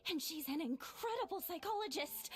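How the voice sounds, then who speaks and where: A woman voicing a small, excitable, loyal helper character in a video game. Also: very high voice, moderate pace. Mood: incredulous.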